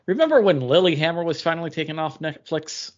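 A man with a lively, swinging intonation argues casually as a podcast co-host. He halts and rephrases as he goes, on slightly dull, lo-fi audio. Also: on the high side, fast talker. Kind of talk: casual debate.

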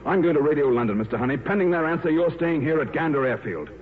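An actor playing a gruff, exacting airline captain with a clipped, formal manner in 1950s radio drama style. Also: male voice, a little high, lively intonation, fast, natural voice. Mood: stern. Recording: noisy, tinny old radio broadcast.

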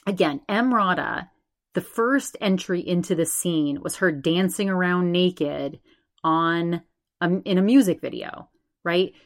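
A woman in her forties hosting a solo podcast, talking in a chatty, rambling way and speaking straight to her subscribers. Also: on the low side. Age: 40s. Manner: chatty, rambling, animated, addresses subscribers directly. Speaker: podcast host.

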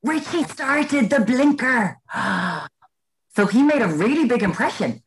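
A woman co-hosting a casual comedic chat, telling stories in an animated, teasing way. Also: fairly high pitch, unhurried. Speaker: podcast co-host.

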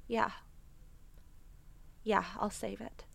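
A woman's voice: mid-range voice, little pitch movement, drawn-out words, vocal fry, noisy background, full character voice. An actress playing a chatty, indecisive young city witch in an audio drama. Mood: uneasy.

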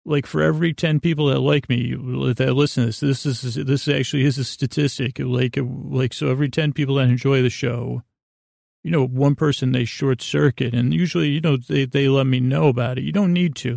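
A middle-aged man with a creaky, gravelly voice, hosting a sleep podcast in a goofy, meandering, halting monologue that often repeats itself. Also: medium pitch, darkish tone, no echo, studio quality. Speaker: podcast host. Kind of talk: rambling bedtime monologue.

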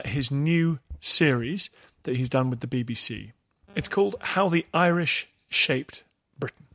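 A man's voice: mid-range voice, a bit bright, dry recording, clean studio sound. A man hosting a history podcast, introducing a guest in a chatty way with jokey asides. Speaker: podcast host.

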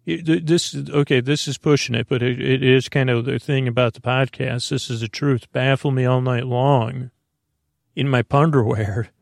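A man with a gravelly, creaky voice at a middling pitch, recorded close and dry. He rambles like a sleep podcast host, halting and restarting as he drifts into low-key, silly tangents. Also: steady tone, dry recording. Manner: meandering, halting, often trailing off into tangents; mildly whimsical, low-key silliness. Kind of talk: rambling, unscripted bedtime sleep podcast intro.

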